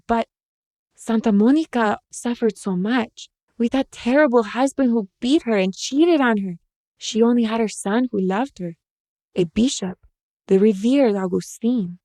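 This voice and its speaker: Casting: devout young mother played by a woman narrator, tender and sorrowful, gentle bilingual phrasing, mellow, storybook reading. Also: on the high side, dry recording, studio quality, lightly acted. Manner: gentle, mixing Spanish phrases into English. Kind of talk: short-story audio reading.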